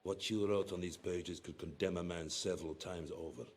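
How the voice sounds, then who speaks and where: A man playing a stern Soviet colonel in a game cutscene, speaking in a deliberate, taunting, commanding way. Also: low-pitched, fairly even intonation, close to an everyday voice. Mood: coldly menacing. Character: stern, menacing Soviet colonel, interrogating an old subordinate. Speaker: actor voicing a game character. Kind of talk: dramatic cutscene acting. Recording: loud background noise.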